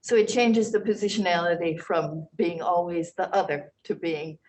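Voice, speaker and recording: A woman's voice: fairly low pitch, moderate pace, darkish tone. Woman professor moderating in echoey room. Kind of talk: panel conversation.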